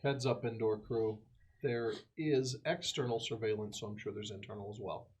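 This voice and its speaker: A man's voice: lowish voice, steady tone, moderate pace, a little mellow. A man playing a tabletop roleplaying game, mixing casual table chat with in-character lines.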